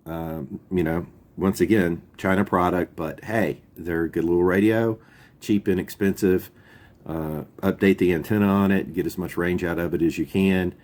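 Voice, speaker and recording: A man's voice: low voice, steady tone, moderate pace, darkish tone. A man hosting a video, explaining gear in an informal, folksy, plain-spoken way. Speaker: YouTube channel host. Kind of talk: casual product overview, explaining radio gear.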